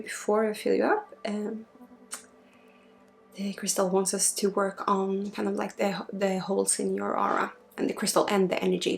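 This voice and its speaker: A woman from Sweden, a native Swedish speaker, guiding an ASMR energy healing session in a breathy, halting voice that often drops into a whisper. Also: medium pitch. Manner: hushed, halting, intimate direct address. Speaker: spiritual healer and ASMR host.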